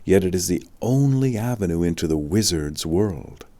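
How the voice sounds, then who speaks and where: A man narrating a spiritual audiobook in a measured, deliberate scripted style. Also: low voice, animated, warm tone, faint music behind.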